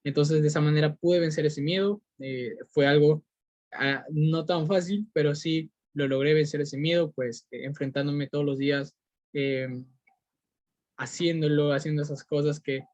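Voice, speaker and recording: Peruvian man, young adult, podcast host, slightly high voice, earnest, hesitant fillers, dull lo-fi sound. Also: a little mellow, no room sound. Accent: Peruvian Spanish. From Peru. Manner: earnest, repetitive, many fillers and restarts. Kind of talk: motivational podcast monologue. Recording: dull lo-fi recording.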